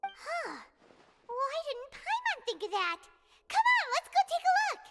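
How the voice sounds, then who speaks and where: A woman voicing a tiny, curious fairy companion in a video game, with a squeaky childlike voice and an excitable, blunt manner. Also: a little slow, very noisy, heavily acted. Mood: eager. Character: tiny childlike floating fairy companion, curious, chirpy, speaks in third person. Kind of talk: video game character voice acting. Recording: reverberant, loud music underneath.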